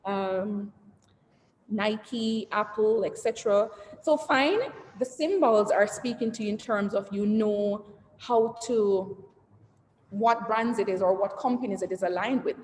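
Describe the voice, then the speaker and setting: Woman CEO leading an online branding session, chatty and interactive, middle-pitched, echoing room with long reverb. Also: unhurried, a little mellow. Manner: conversational, asks the audience and reads the chat aloud, trails lists with "et cetera". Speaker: company CEO giving a branding presentation. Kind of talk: interactive online presentation to entrepreneurs. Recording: roomy reverberant sound with faint noise.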